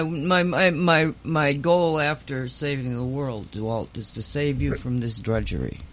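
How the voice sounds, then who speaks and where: A man hosting a radio show, chatting in a teasing way with many restarts. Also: medium pitch. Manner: teasing, restarts often, laughs a lot. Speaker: radio host. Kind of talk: casual chat.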